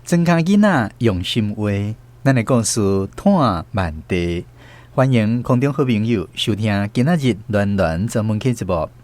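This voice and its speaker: A man's voice: fairly low pitch, lively intonation, measured pace, a little mellow, a little hiss or hum. A man with a Taiwanese accent, narrating scripted radio stories expressively as host.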